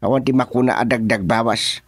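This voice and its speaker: An actor playing an eager, talkative political underling in a radio comedy-drama, emphatic and exclamatory, with an Ilocano accent. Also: male voice, medium pitch, steady tone, fairly quick, husky, no echo, clean studio sound, lightly acted. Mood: insistent.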